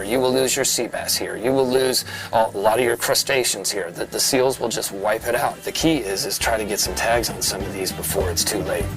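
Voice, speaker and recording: A man's voice: mid-range voice, creaky, rough recording. A man from North America giving an emphatic interview soundbite, with repetitive, punchy phrasing. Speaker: shark research organisation founder.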